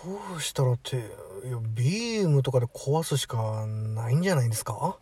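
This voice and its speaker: Radio drama role: male actor as irritated, incredulous high schooler, husky and very animated, slightly distant from mic. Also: fairly quick, no echo. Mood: sceptical, annoyed. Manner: blunt, incredulous, grumbling. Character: teenage high school boy, sceptical, bewildered and irritated. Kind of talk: amateur radio drama acting.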